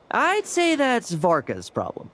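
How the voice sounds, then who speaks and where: A woman's voice: a little high, huge pitch swings, takes it slowly, fairly bright tone, a little hiss or hum, heavily acted. A woman voicing a playful, sly young knight in a video game, with a wry, teasing way of talking. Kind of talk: English video game voice acting.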